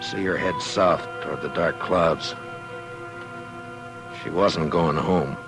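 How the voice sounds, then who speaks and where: An American actor playing a middle-aged, steady and grave marshal in a 1950s radio western, speaking in a measured, understated way. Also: male voice, low-pitched. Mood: grave narration. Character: steady, grave frontier marshal of the Old West. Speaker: lead actor and narrator. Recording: old radio recording with music.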